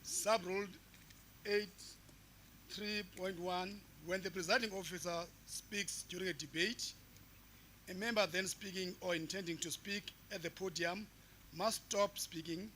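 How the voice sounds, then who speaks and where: A man's voice: sits high, fairly even intonation, a little slow, pushed and bright, a little hiss or hum, low-quality recording. A man formally reading out procedural rules in a legislature chamber. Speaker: member of a legislature.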